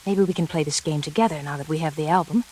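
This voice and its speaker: Cool, teasing femme fatale. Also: female voice, low-pitched, quick pace, mellow, low-quality recording. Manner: wry, self-possessed. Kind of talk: 1940s radio acting. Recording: hissy old 1940s radio recording.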